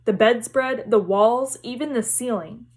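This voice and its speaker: A woman's voice: a little high, measured pace. A woman reading a storybook aloud as narrator. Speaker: read-aloud reader.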